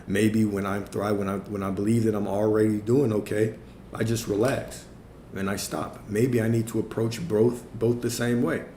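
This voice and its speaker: A man's voice: on the low side, little pitch movement, moderate pace, mellow, roomy sound, slight background noise. A man speaking as a spiritual coach on a livestream, answering viewer questions in a reflective way, his voice a little worn from talking all day. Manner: frequent tag questions. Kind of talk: livestream Q&A talk.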